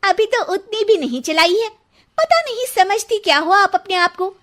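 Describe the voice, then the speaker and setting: A woman's voice: very high voice, expressive, moderate pace, a bit bright. A woman voicing a quarrelsome young daughter-in-law in a cartoon, taunting and bickering. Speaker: voice actor. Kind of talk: cartoon voice acting.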